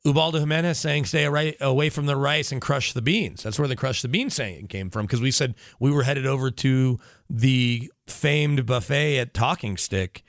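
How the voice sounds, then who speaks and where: A man's voice: mid-range voice, moderate pace, no echo, clean studio sound. A middle-aged American man, a radio reporter guesting on a podcast, tells rambling anecdotes in casual, talkative chat.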